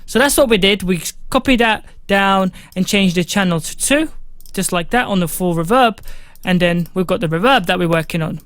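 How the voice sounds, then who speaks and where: A young man with a fairly high voice, giving a casual, instructive music-production tutorial from a small home studio, with many fillers. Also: moderate pace, a bit bright, no room sound. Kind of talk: screen-recorded software tutorial.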